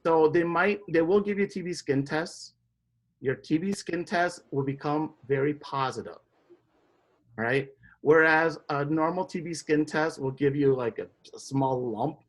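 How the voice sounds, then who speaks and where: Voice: mid-range voice, a little slow, rough recording. An instructor from Indonesia giving a classroom biology lecture, explaining step by step and often checking in with students.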